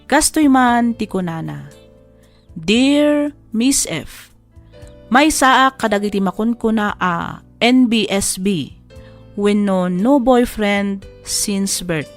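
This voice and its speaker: A woman's voice: medium pitch, lively intonation, takes it slowly. A woman giving scripted dramatic narration, reading out a letter as the narrator of a drama. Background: music.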